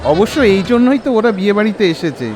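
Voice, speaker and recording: A man's voice: high voice, lively intonation, quick pace, darkish tone, faint music behind. A man with a Bengali accent narrating a cartoon story in a dramatic storytelling style. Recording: clear background noise.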